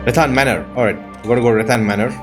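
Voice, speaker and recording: Male gamer host, mid-pitched, casual running commentary, thinking aloud over clear game music. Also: fairly even intonation, racing. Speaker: gamer hosting a playthrough.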